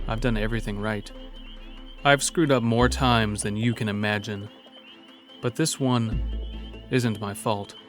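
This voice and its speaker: A fearful, wry logger narrates a horror audio drama in the first person. His voice sits low, with quiet music underneath, and he tells it like a confession. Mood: tense, resigned. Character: hunted logger, wry, fearful, self-blaming man. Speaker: narrator and protagonist.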